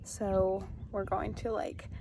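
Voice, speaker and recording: A teenage girl with a mellow, warm voice vlogging into her phone held at arm's length. She rambles chattily with frequent "like", and faint noise sits under the sound. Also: medium pitch, little pitch movement, takes it slowly, creaky. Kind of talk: casual vlog talk to camera. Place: outdoors at the beach, then at home.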